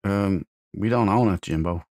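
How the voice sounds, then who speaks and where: A man's voice: deep voice, normal speed, a little mellow, dry recording. A man giving casual commentary as he plays through a computer game. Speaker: let's play host.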